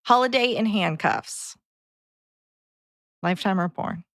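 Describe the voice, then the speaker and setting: A woman's voice: mid-range voice, takes it slowly, creaky, fairly bright tone, no echo, studio quality. A woman co-hosting a podcast, chatting casually and conversationally. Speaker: podcast co-host.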